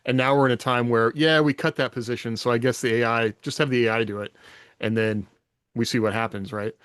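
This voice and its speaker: A man's voice: medium pitch, fairly even intonation, fairly quick, fairly bright tone, clear, resonant tone, no room sound, clean studio sound. A man in a casual podcast panel discussion, explaining his thinking reflectively and often checking in with rhetorical questions.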